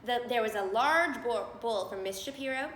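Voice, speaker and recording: Female storybook reader, slightly high pitch, children's read-aloud, echoey recording. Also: moderate pace, a bit bright. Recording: roomy echo, faint background noise.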